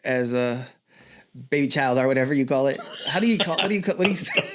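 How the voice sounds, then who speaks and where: A man's voice: mid-range voice, expressive, quick pace, a crowd in the background. A man in his fifties with a Los Angeles accent, chatting casually as a podcast guest in a loose, informal, self-joking way. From El Sereno, Los Angeles. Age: 50s. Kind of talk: casual chat. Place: decorated home garage.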